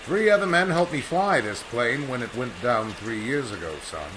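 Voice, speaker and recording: A man's voice: medium pitch, lively intonation, normal speed, background noise, close to an everyday voice. A man playing a proud, curt aviator in old-time radio style, with formal, old-fashioned diction. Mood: brisk. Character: proud male aviator home from three lost years, curt, formal. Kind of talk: 1940s radio acting.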